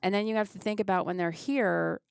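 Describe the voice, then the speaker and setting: A woman's voice: medium pitch, steady tone, fairly quick, vocal fry, clear, resonant tone, no room sound, clean studio sound. A woman guest on a podcast explaining things carefully in casual conversation and thinking aloud with asides. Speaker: genealogy society guest.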